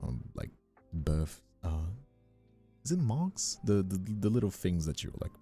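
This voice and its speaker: A man in his twenties who sounds older than his years, chatting casually on a livestream in a halting, rephrasing way. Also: low-pitched, sing-song, mellow, husky, soft background music. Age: 20s. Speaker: ASMR streamer and voice actor.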